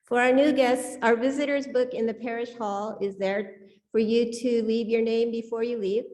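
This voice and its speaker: A woman with a fairly high voice speaks as a service leader. She delivers a prepared welcome to a congregation, giving announcements into a church microphone. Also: fairly even intonation, normal speed, darkish tone.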